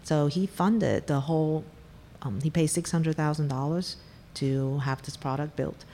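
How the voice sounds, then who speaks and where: A woman's voice: deep voice, measured pace, mellow, in a large hall, a little hiss or hum. A middle-aged woman from Taiwan, a venture investor telling her startup story in an interview, candid and blunt. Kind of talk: conversational interview.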